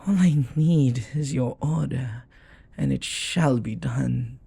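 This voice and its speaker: Jealous demon boyfriend for roleplay audio, played by a man: mellow, husky, intimate, formal and devoted. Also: medium pitch, slow pace, no room sound, heavily acted. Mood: menacing, eager.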